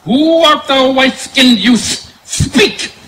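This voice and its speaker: A man's voice: very high-pitched, expressive, takes it slowly, pushed and bright, exaggerated voice. A man playing a fierce, proud lion-man prince, challenging in archaic phrases in an old-time radio serial. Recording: noisy live outdoor stage recording.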